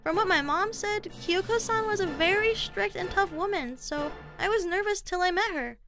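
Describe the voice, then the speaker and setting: A woman's voice: very high-pitched, moderate pace, over music. A woman voicing a polite, frank, shy middle-school girl in a manga dub, speaking earnestly and hesitantly. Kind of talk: anime-style manga dub dialogue.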